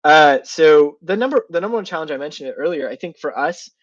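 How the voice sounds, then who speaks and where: A man's voice: fairly high pitch, lively intonation, fast, dry recording, highs cut off. A man with a sinus infection, sounding nasal and congested, answering questions in a casual online interview and thinking aloud with many fillers. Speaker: guest developer.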